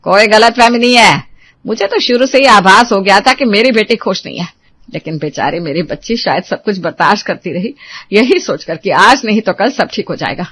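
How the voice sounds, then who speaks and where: A woman's voice: mid-range voice, animated, moderate pace, fairly bright tone, husky. A woman playing an accusing, domineering middle-aged mother-in-law in a melodramatic Hindi family drama, scolding with bitter sarcasm. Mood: bitter, aggrieved.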